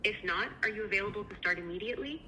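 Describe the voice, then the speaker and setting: Bright, brisk woman recruiter on phone. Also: mid-range voice, low-quality recording. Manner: polite, affirming, polished. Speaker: AI recruiter interviewer. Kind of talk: scripted phone screening interview.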